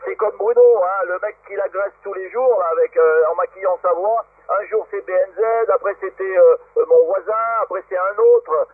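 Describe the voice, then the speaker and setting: A man's voice: high-pitched, moderate pace, few pauses. A man talking casually and argumentatively as an amateur radio operator over a shortwave transmission. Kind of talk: casual radio chat, bantering and arguing. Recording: very noisy, narrow-band shortwave radio. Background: loud radio static and interference.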